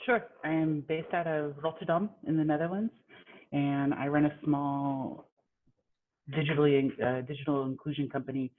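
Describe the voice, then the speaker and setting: A woman's voice: deep voice, fairly even intonation, normal speed, slight background noise. A woman who runs a small digital inclusion company introduces herself briefly and matter-of-factly on a video call. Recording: tinny phone-like video call line.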